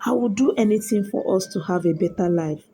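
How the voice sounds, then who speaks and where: A woman voicing a devoted, anxious young wife in a storybook tale, earnest and unhurried. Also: medium pitch, mellow, a little hiss or hum, lightly acted. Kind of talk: storybook character dialogue. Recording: music behind the voice.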